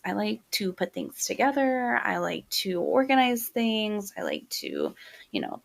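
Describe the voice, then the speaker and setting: A young woman hosting her own podcast, talking casually and soft-spoken, with lots of fillers. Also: medium pitch, creaky. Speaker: podcast host. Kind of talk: casual solo podcast monologue.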